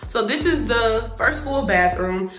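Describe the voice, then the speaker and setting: A woman's voice: a little high, animated, measured pace, a bit bright, faint music behind. A woman vlogger giving a casual, chatty tour of her new apartment, talking straight to her viewers with informal slang while filming on a phone. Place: empty apartment indoors. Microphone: phone camera held while walking.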